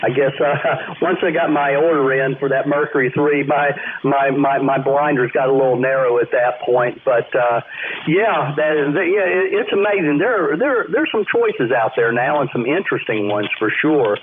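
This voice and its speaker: A man's voice: medium pitch, moderate pace. Male ham radio caller heard through a thin, phone-like band with light noise; polite, easygoing, rambling conversational delivery. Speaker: amateur radio operator calling in. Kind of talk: casual on-air radio conversation. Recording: narrow-band noisy amateur radio link.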